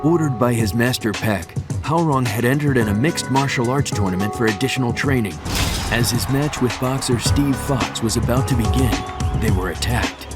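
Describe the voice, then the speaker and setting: A man's voice: mid-range voice, moderate pace, lightly acted. A man giving formal, dramatic scripted narration for a video game's story cutscenes. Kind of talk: scripted cinematic game narration. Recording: loud music under the voice.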